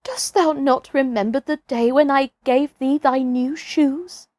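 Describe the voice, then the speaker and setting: A woman's voice: high-pitched, measured pace, no room sound, pitched above the performer's own voice. Woman acting a tender, sorrowful village mother. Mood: pleading. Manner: gentle, archaic phrasing.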